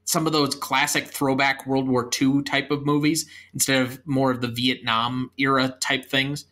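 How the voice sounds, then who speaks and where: A young man hosting a podcast, talking about films in earnest, explanatory chat and reading out a brisk closing script. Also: medium pitch, flat delivery, pushed and bright. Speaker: podcast host.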